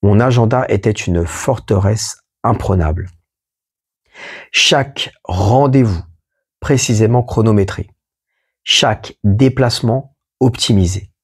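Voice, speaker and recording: A man hosting an audio show, reading a poetic first-person text slowly and adding small spoken asides. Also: lowish voice, slightly rough. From France. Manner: slow, measured reading with asides. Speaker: podcast host.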